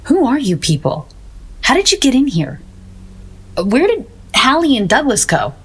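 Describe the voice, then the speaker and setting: An actress playing a gloomy, bewildered painter in a comic audio drama, hesitant and naively deadpan. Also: female voice, fairly high pitch, measured pace, background noise, exaggerated voice. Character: gloomy, bewildered, self-doubting woman painter.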